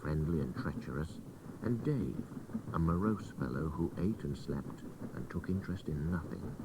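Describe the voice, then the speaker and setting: A man reading a literary adventure story aloud in measured, expressive, dramatic narration. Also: rumbling low. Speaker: story reader. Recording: old cassette radio recording, distant, faint hiss.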